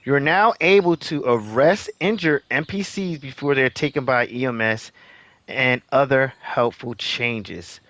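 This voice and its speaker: A man hosting a gaming channel, giving casual update commentary in a halting way, repeating phrases as he addresses viewers encouragingly. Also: mid-range voice, a bit bright, dry recording.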